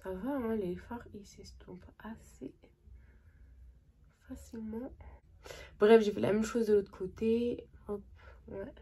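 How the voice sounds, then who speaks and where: A young woman with a cold, chatting excitedly and casually through a makeup tutorial, with lots of slang and trailing sentences. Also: mid-range voice, slow pace, pauses often, slightly rough. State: has a cold. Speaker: makeup vlogger.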